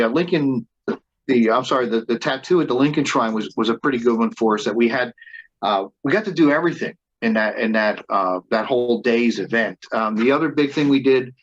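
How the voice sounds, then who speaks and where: A man's voice: mid-range voice, dull audio. A man who leads a band, telling stories in a casual interview and often restarting and repeating words as he goes. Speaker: band leader and reenactor being interviewed.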